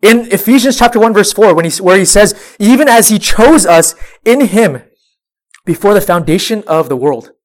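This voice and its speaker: High-voiced young man preaching. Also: lively intonation, moderate pace. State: sniffling with a cold. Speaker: youth pastor. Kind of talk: sermon to a high school group.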